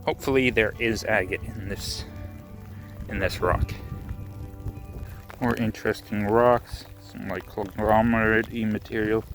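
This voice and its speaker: A man casually narrating his rock hunt on a rainy beach outdoors, relaxed and musing, thinking aloud with small restarts. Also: fairly low pitch, unhurried, pauses often, music in the background. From Canada. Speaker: rockhounding vlogger.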